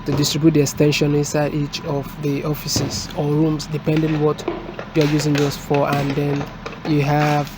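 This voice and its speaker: Male technician for hands-on tutorial: halting step-by-step delivery, slightly high pitch, clear street noise behind. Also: monotone. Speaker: technician presenting a product installation. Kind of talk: unscripted hands-on tutorial and review. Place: indoors at a desk, filmed from above. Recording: noisy room with street and vehicle sounds.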